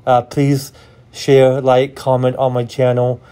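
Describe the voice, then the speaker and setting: A man's voice: mid-range voice, monotone, takes it slowly, warm tone, smooth. A middle-aged man vlogging casually in a bowling alley, rambling with lots of fillers and enthusiasm, recorded on a phone. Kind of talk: casual vlog talk to camera.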